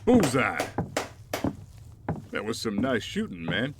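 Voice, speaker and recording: A man playing a steady, supportive ex-soldier sidekick in an audio drama, conversational and encouraging. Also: mid-range voice, takes it slowly. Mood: upbeat. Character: steady, supportive ex-soldier, male partner of a vigilante. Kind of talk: scripted audio drama acting. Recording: background noise with ambience.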